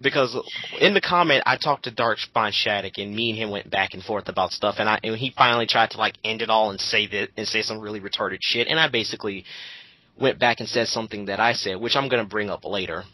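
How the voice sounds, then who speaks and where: A woman's voice: a bass voice, quick pace, pushed and bright, no echo, low-quality recording. A woman giving casual, opinionated commentary in an emphatic, sarcastic way, with some swearing. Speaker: co-host of an online dramatic reading.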